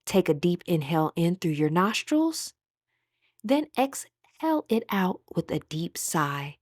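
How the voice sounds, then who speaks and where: A woman leading a guided meditation as instructor, speaking in a calm, soothing, measured way with pauses. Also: lowish voice, no echo.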